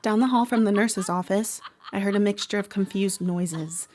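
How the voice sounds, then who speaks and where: A woman playing a troubled, protective teenage girl who narrates a horror audio drama in a measured, dramatic way. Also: medium pitch, darkish tone, slight background noise, natural voice. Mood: uneasy. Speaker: actor narrating. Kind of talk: first-person horror audio drama monologue.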